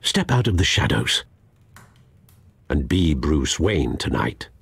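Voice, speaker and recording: Very deep, gravelly masked vigilante. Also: male voice, sing-song, takes it slowly, heavily acted. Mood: grim, solemn. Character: grim, brooding masked vigilante. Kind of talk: video game drama acting.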